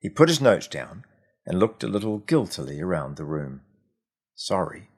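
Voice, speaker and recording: A man, an author reading a story aloud in an expressive storytelling style. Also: low-pitched, moderate pace, slightly rough. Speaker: author reading from his own book.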